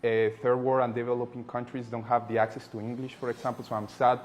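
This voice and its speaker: A man's voice: mid-range voice, flat delivery, fast. A man in his twenties from Colombia, a political scientist and activist, answering interview questions thoughtfully, often restarting his sentences. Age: 20s.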